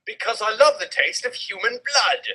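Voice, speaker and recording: A man's voice: sits high, expressive, normal speed, pushed and bright, pitched above the performer's own voice, heavily acted, poor audio. A man voicing a shy teenage gothic vampire boy in a dramatic story reading. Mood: giggly. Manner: shy, breathy mumbling.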